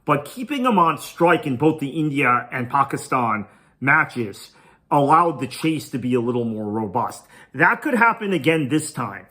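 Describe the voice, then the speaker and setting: A man's voice: medium pitch, big pitch swings, moderate pace, sharp tone. A man whose first language is Tamil, hosting a podcast and talking through a cricket match preview in a conversational, thinking-aloud way. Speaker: podcast host. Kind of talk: solo cricket preview commentary.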